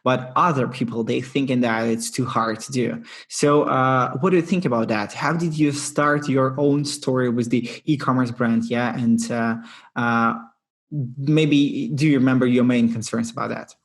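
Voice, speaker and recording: A man's voice: medium pitch, steady tone, moderate pace. Man hosting a podcast, non-native English. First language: not English. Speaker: podcast host.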